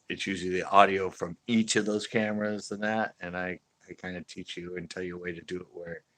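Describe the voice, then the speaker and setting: A man with a deep, low voice talks casually on a live stream for his fishing channel. His tone is bright and forceful, and the sound is close and dry. He rambles, laughs often and circles back with fillers and restarts. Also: no echo.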